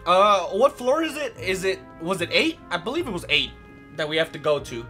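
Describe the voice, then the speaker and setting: A young man with a hoarse, raspy voice chatting casually through a let's play, rambling with lots of fillers. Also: high voice, big pitch swings, normal speed, pushed and bright, soft background music. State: hoarse from yelling. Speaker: gaming channel host.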